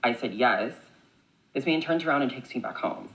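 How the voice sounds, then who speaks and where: A man's voice: medium pitch, lively intonation, normal speed, fairly bright tone, rough recording. A man chatting candidly to camera, telling a dating story in a casual way. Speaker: clip speaker.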